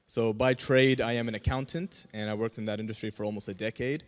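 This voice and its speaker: A man's voice: medium pitch, fairly even intonation, quick pace. A man from Canada speaking conversationally as a panelist, introducing himself to a live event. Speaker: panelist, comedian. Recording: echoey hall with long reverb.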